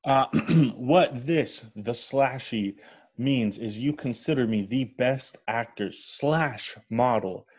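Man playing a husky, smug celebrity model. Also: medium pitch, slow. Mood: gushing, smug. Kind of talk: table read of a film comedy script. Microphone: video call. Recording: dull video call audio.

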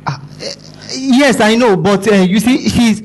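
A Nigerian man with a high voice pleads and stammers in a stage comedy. His delivery is frantic and breathless with panic, words tumbling and repeating. He is recorded by a far camera on a small curtained stage. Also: unhurried. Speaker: stage actor playing a corrupt father.

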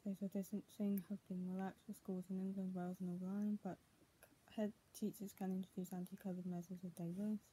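A young woman reads news text aloud with small stumbles. Her voice is very dark and soft-edged, at a middling pitch. The tablet recording, made in a small bedroom, carries clear noise. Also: little pitch movement, fast talker. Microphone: tablet's built-in mic at arm's length. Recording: clearly noisy, muffled home tablet recording.

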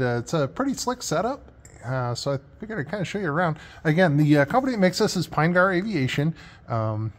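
A man hosting a casual, unscripted product walkthrough, talking in a hesitant, off-the-cuff way behind a handheld camera. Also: medium pitch. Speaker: host showing his aircraft setup.

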